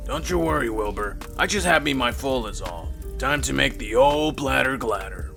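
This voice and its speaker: A man voicing a folksy, easygoing old homeless character in a comic dub, with a gravelly voice and reassuring way of talking. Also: mid-range voice, expressive, moderate pace, over music. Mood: jovial. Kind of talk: comic book dub acting.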